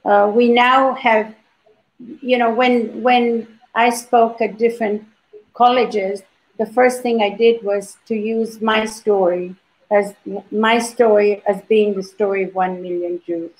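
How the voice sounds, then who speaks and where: A woman's voice: mid-range voice, steady tone, slow pace, low-quality recording. An older woman from Libya on a video call panel, recounting her activism in an emphatic, storytelling way with frequent restarts. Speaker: organization founder, panelist. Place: indoor home room.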